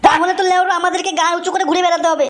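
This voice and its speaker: A woman voicing a crude, excitable young village son in a comic cartoon, melodramatic and pleading. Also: very high voice, racing. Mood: irritated worry. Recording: music behind the voice.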